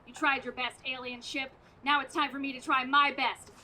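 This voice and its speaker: A woman's voice: high-pitched, flat delivery, normal speed, pushed and bright. A woman playing a brash, swaggering mayor turned action hero, bombastic and hammy in a comic stage radio play. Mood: gloating. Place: outdoors in a parking lot. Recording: outdoor stage recording, noisy background.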